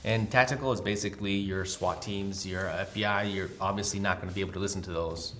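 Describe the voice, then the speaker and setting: An American man with a slightly low voice talks very quickly as he co-hosts an explainer. He chimes in agreeably and casually, with faint noise behind him. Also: fairly even intonation, a bit bright. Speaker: co-host, police scanner expert. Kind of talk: casual explanatory talk in a tutorial video.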